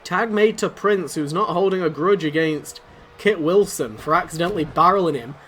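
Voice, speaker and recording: Excitable man calling a match. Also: a little high, normal speed. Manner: excitable, rambling, self-deprecating. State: losing his voice. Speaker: YouTuber commentating a wrestling video game. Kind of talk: play-by-play commentary. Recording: noisy background.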